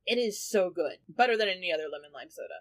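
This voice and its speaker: A woman's voice: lowish voice, expressive, quick pace. A woman chatting casually as a player on a tabletop podcast, talking emphatically and swearing freely. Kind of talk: casual chat.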